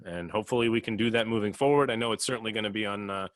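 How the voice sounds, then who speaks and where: A man's voice: fairly low pitch, fairly even intonation, rapid-fire, a bit bright, no room sound. A man on a podcast panel, talking reflectively and earnestly, often repeating phrases as he finds his words. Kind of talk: casual, reflective discussion.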